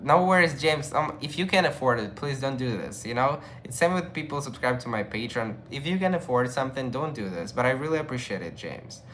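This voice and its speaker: Male streamer, young adult; casual, rambling chat with viewers, mid pitch, faint noise behind him. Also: lively intonation, a little fast, few pauses, a bit bright. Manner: loose, chatty, with many fillers and restarts. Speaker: livestream host. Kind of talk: casual livestream chat, reading viewer comments.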